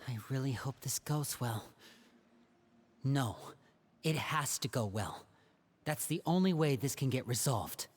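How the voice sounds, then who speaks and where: An actor playing an earnest, protective young man in an anime video game, speaking in a measured, resolute way. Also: medium pitch, lively intonation.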